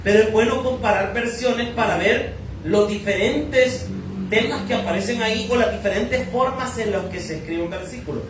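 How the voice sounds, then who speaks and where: A man's voice: high-pitched, normal speed, background noise. A Salvadoran man preaching a sermon as pastor, teaching through questions he asks and answers himself. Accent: Salvadoran Spanish. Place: church hall. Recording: echoey church hall from far off.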